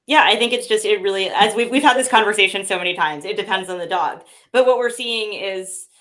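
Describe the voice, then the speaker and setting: A woman's voice: mid-range voice, a little fast, a bit bright. A woman talking casually about how her company sources its meat, chatty, with many fillers and restarts, a bit sniffly. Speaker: pet food company representative. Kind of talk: casual conversational interview.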